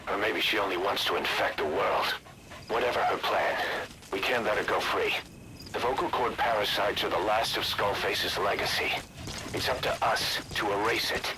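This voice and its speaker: An actor playing a hardened, distrustful military commander in a video game, speaking in a stern, clipped, emphatic manner. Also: male voice, fairly low pitch, noisy background, lightly acted. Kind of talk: dramatic video game dialogue.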